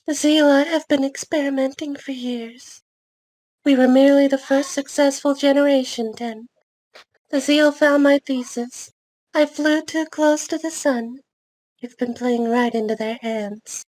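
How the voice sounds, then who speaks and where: A celestial goddess, acted by a woman with a very high voice. She is formal, grave and commanding, like an ancient being in an amateur fantasy drama read. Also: steady tone, a little slow, a little mellow, rough recording.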